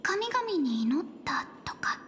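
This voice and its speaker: A woman voicing a gentle, polite, earnest young female guide in an anime-style video game, explaining things softly. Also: very high voice, very animated, moderate pace, a little hiss or hum, heavily acted.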